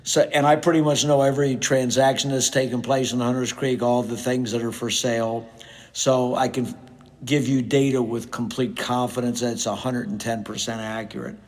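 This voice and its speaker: Middle-aged male podcast host, mid-range pitch, casual and chatty, a touch hoarse and nasal from a cold. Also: steady tone, moderate pace. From United States. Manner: conversational, with frequent fillers. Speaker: podcast host, real estate agent. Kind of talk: casual solo podcast talk.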